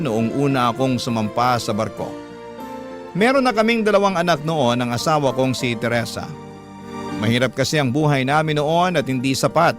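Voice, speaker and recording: An actor plays a weary Filipino man close to sixty who once worked at sea. He confides his story in an earnest, dramatic letter narration. His voice sits at a middle pitch, with music clearly underneath. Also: natural voice. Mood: heavy-hearted, reflective. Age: older.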